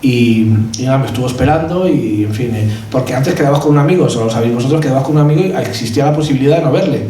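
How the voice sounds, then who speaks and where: The Castilian man you would hear from the back row of a book presentation, a mellow-voiced author wandering haltingly through anecdotes, a little far from the microphone. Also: mid-range voice. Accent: Castilian Spanish. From Madrid, Spain. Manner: halting, rambling, hesitant with repeated words. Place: event hall at a cultural centre. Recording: roomy echo, distant mic, background noise.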